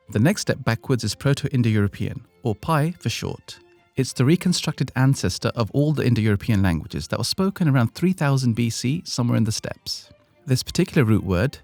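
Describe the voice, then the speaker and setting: British male podcast host, scripted and playful, with a gravelly edge at a mid pitch. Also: a little mellow, no room sound. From Britain. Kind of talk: scripted educational narration.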